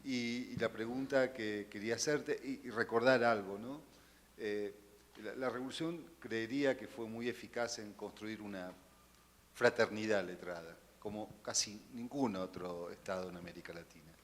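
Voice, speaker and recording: Low-voiced man asking from the audience. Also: moderate pace. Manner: hesitant, with fillers and tag questions. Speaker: audience questioner. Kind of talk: asking a question at a panel. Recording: reverberant hall with faint noise.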